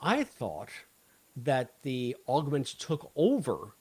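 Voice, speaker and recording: A man's voice: medium pitch, huge pitch swings, slow pace, slightly rough. Guy podcast cohost, mid-range voice, just chatting, kinda blunt and opinionated. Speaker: podcast co-host. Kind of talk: casual chat, episode discussion.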